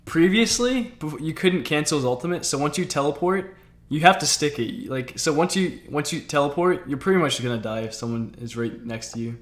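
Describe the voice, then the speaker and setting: A man's voice: fairly high pitch, lively intonation, brisk. A man hosting a casual gaming stream chat, talking in a laid-back, informal way and often repeating himself. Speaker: streamer, host.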